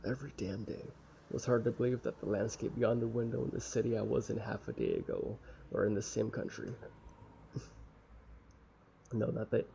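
A young man with a casual, halting, sweary manner reading a visual novel aloud and commenting as he plays. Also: lowish voice, fairly even intonation, gravelly, warm tone. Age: young adult. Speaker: let's play streamer. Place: small room at home. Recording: dull lo-fi mic with faint noise.

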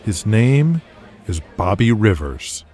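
A man's voice: on the low side, sing-song, slow, darkish tone. A man voicing a respectful, starstruck young wrestling fan, hesitant and polite. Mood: hesitant, earnest.

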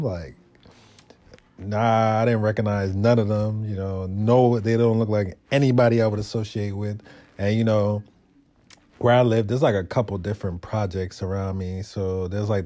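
A man's voice: fairly low pitch, dark tone. A young man from Brooklyn, telling a story in casual, slangy, laid-back talk for a vlog. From Brooklyn, New York. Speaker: motovlogger.

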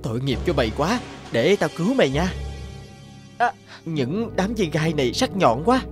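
A humble young peasant in a cartoon. He is gentle and earnest, with a lively, swinging pitch over music. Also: moderate pace. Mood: gentle, caring. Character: kind, humble young orphan peasant man. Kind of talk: cartoon dialogue.

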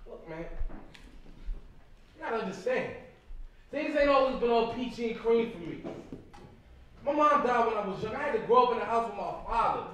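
Casting: male actor as angry young abusive boyfriend, very high emphatic voice, self-justifying stage monologue, distant echoey pickup. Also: expressive, moderate pace, pauses often, sharp tone, a little hiss or hum, full character voice. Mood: agitated, defensive. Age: young adult. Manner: defiant, slangy, emphatic. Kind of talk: stage play acting, emotional monologue. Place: indoor theater stage. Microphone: distant camera. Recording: echoey theater stage, recorded from far off.

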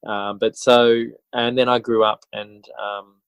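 A man in his forties with an Australian accent, talking as a guest in a casual podcast interview in a halting, reflective way. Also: medium pitch, little pitch movement, clear, resonant tone, dry recording. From country New South Wales, Australia. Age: 40s. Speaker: interview guest, schoolteacher and author.

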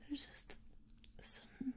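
A young woman whispers softly and breathily up close, gently soothing and reassuring in an ASMR girlfriend comfort roleplay. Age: young adult. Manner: soothing, gentle, reassuring, repeating words slowly. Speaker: ASMR performer. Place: small quiet indoor room. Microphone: close to the mic.

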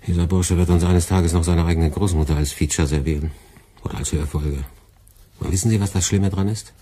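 Deep, dry, sardonic department head. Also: male voice, fairly even intonation, mellow, husky. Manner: ironic, measured, schoolmasterly. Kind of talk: German radio play acting.

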